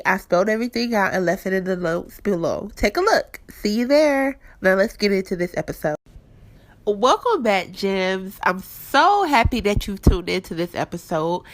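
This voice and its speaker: A young woman with a stuffed-up nose, chatting candidly to her listeners as a podcast host. She talks at a middle pitch, casual and personal, recorded on a home mic in her apartment. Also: fairly bright tone. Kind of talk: casual faith-based podcast talk.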